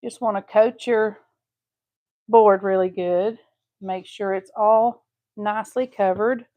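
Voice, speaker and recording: A woman's voice: medium pitch, fairly even intonation, takes it slowly, dark tone. Texas lady crafting live, chatty and homey, talks to viewers while she paints. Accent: Southeast Texas. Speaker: craft channel host. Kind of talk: casual live-stream craft tutorial.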